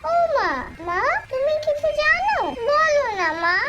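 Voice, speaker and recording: A woman voicing an excitable, teasing young married daughter in a family cartoon, with drawn-out delivery. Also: very high-pitched, lo-fi sound, heavy background noise, heavily acted. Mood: excited. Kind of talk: cartoon voice acting.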